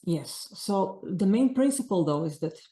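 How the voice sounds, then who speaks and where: A woman's voice: medium pitch, animated, a little slow, warm tone. A woman in her forties from Bulgaria, speaking with a Bulgarian accent as an author answering interview questions in earnest, flowing sentences. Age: 40s. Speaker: author guest.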